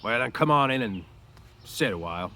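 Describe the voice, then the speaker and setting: A man's voice: medium pitch, expressive, fairly quick, pushed and bright, slight background noise. A man voicing a proud, aloof stable hand, bitter and defensive, with complaining rural speech in a storybook reading. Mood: grudging. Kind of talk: storybook read-aloud character voice.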